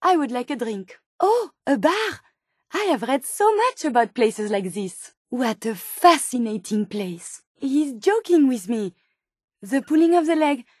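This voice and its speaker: An actress playing a polite, earnest, curious robot scientist with a French accent in a video game, speaking formally and precisely. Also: female voice, high-pitched, animated, measured pace, breathy edge, dry recording, clean studio sound, exaggerated voice. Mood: curious wonder. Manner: formal, precise, with questioning tags. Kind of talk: video game character dialogue.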